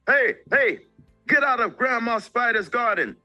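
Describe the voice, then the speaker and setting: A man voicing agitated, loud village neighbors shouting in a children's folktale. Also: very high-pitched, animated, unhurried, no room sound, faint music behind, pitched above the performer's own voice, full character voice. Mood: urgent, yelling.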